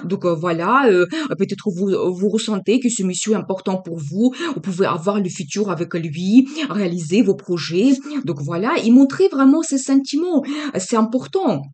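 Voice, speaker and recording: A woman's voice: mid-range voice, expressive, moderate pace, darkish tone. A woman giving a tarot reading to the viewer, reassuring, with many hesitant filler sounds. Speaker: tarot card reader.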